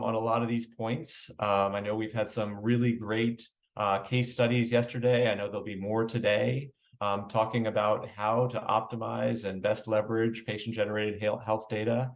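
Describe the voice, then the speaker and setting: Male government official, low register, opening a conference. Halting, loosely phrased delivery with plenty of hedging. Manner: halting, hedging, frequent "you know". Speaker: regulatory official giving opening remarks. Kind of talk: conference welcome speech, loosely spoken.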